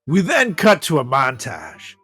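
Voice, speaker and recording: A man with a fairly high, very animated voice hosts a comedy podcast. He banters casually and reads comic stories aloud, in an echoey room with music faintly behind. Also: unhurried, slightly rough. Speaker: podcast host.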